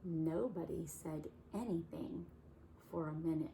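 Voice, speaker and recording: Woman, children's storyteller, soft-spoken, slow, lowish voice. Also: creaky, a little hiss or hum, poor audio. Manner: warm, unhurried, soft-spoken. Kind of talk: reading a picture book aloud.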